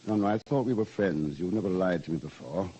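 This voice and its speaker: An actor as a low-voiced, formal British housemaster. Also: male voice, dark tone, a little hiss or hum, natural voice, rough recording. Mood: stern, probing. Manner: formal, measured, precise wording. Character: anxious, stern housemaster at an English boys' boarding school. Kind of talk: old-time radio drama acting and first-person narration. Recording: old radio drama with music behind.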